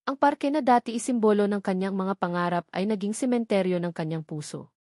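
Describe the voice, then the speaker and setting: A woman's voice: mid-range voice, a little fast, mellow, dry recording, studio quality. A woman with a Filipino accent reading a scripted, dramatic love story as its narrator.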